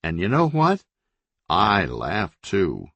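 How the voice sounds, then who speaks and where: A man's voice: deep voice, huge pitch swings, slow pace, a bit bright, husky, no echo, full character voice. A man voicing a hot-tempered governor who gives blunt, profane orders and explains things coldly. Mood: cold, even. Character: hot-tempered male state governor, forceful.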